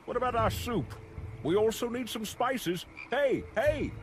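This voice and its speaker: A man's voice: very high voice, animated, unhurried. A man voicing a cheeky, callous little gnome cook in a video game, with a chirpy, wheedling manner. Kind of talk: video game character acting.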